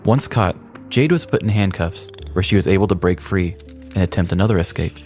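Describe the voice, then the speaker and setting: Male podcast co-host, low register, scripted humorous crime narration, light music bed. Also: expressive, brisk, darkish tone. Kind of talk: scripted comedic true-crime storytelling.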